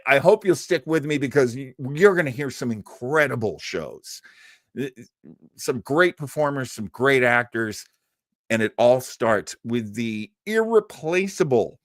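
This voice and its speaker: A man hosting a live show, chatting casually with viewers in a halting, self-deprecating way and laughing easily. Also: mid-range voice, no room sound, studio quality. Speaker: host of a live old-time radio show stream. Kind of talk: casual live chat with viewers, rambling sign-off.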